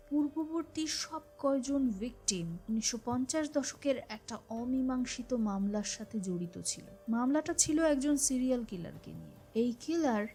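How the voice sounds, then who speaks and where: Mellow-voiced woman narrating a suspense story. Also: medium pitch. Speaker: narrator of an audio story podcast. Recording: voice over a music bed. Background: music.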